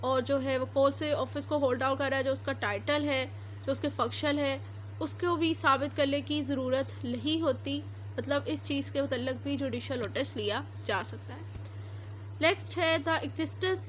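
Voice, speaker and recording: A woman's voice: high-pitched, normal speed, a little hiss or hum. A woman with a Pakistani accent giving an explanatory lecture as a law teacher, mixing two languages as she reads out and explains. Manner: explanatory, switches between Urdu and English. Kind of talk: lecture, reading statutes then explaining them.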